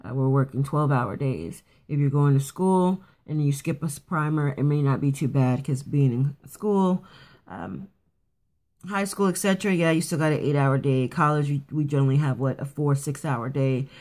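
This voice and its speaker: A woman with a raspy morning voice casually talking through a quick makeup routine, rambling a bit with fillers and restarts. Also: low-pitched, a little mellow. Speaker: beauty vlogger. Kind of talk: casual makeup tutorial chat. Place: at home getting ready for work.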